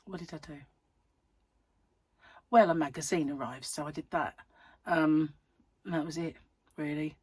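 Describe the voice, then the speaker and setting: A woman hosting a crochet channel, chatting in a rambling, self-mocking way at home while her voice is croaky from a cold. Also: sits low, a little slow, long pauses, dry recording. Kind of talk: casual chatty vlog. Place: at home, seated in a chair.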